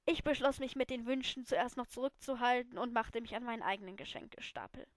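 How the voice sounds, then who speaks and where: A woman reading her own fan fiction aloud as an amateur, in expressive first-person narration. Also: a little high, brisk, no echo, studio quality.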